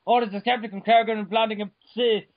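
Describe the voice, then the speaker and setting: Man, high voice, mock-dramatic reading, sudden shouts, trips over words, dull dry lo-fi recording. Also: flat delivery, quick pace, no echo. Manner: over-the-top, bursts into shouts, stumbles over words. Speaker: reader.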